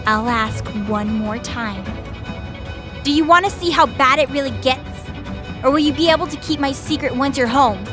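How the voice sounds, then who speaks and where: Lady doing a tough young bird goddess, high voice, brash slangy fighter talk, audio drama w music behind. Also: normal speed, natural voice. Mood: challenging. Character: tough cocky crow goddess, a young-looking female fighter.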